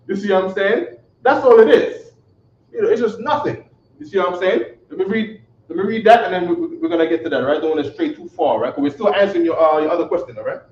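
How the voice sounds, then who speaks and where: A man's voice: high-pitched, fairly quick, darkish tone, slight background noise. A man teaching a live online scripture class, emphatic and rhetorical, often checking that listeners follow. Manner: emphatic, keeps asking "you see what I'm saying?". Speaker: religious teacher. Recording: echoey, distant, muffled.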